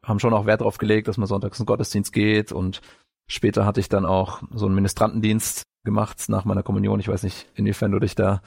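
A man from northern Bavaria telling his life story as an interview guest, halting as he searches for words. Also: lowish voice, little pitch movement, gravelly, darkish tone, husky, dry recording, clean studio sound. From northern Bavaria, Franconia, Germany. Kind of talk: personal life story in conversation.

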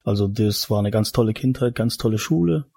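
A man in his forties from eastern Germany with a husky voice, casually telling his life story as a podcast guest in a studio. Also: on the low side, fairly quick, warm tone, no echo. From Mecklenburg-Vorpommern, eastern Germany.

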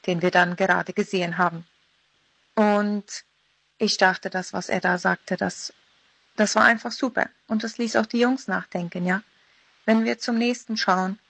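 A woman's voice: medium pitch, fairly bright tone, no echo, rough recording. A woman in her thirties giving a short personal devotional talk as a guest contributor. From Philadelphia (Mennonite colony).

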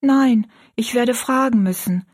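A small, fearful but determined orphan girl from a storybook , acted by a woman. The voice is high and a little husky, speaking softly and earnestly. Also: a little slow. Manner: fearful, earnest, soft-spoken.

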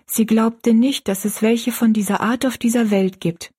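A woman voicing an earnest, pious young girl in a storybook, gently and politely explaining things. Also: a little high, steady tone, normal speed, husky, voice pushed up.

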